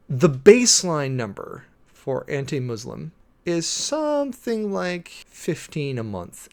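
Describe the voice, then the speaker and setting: An American man giving informal live commentary in an emphatic way, with dramatic stresses and deliberate pauses. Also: medium pitch. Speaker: preacher and livestream commentator.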